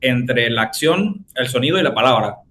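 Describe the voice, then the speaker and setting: A man's voice: medium pitch, lively intonation, normal speed, fairly bright tone, a little hiss or hum. A man with a Venezuelan accent, hosting a casual podcast chat and explaining his ideas with long everyday examples. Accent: Venezuelan Spanish. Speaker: podcast host.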